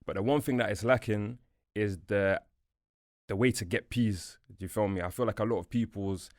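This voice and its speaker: A young man from Portugal whose first language is Portuguese, a podcast guest chatting casually in relaxed slang, saying "bro" and "you feel me" a lot. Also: fairly low pitch, moderate pace, dry recording, studio quality. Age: young adult. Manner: laid-back street slang, fillers like "you feel me", "bro". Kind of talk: casual interview chat. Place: podcast studio.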